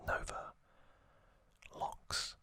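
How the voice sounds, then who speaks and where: A man whispering as an ASMR host, telling a story softly in short, halting phrases. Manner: soft, unhurried, halting delivery in short phrases.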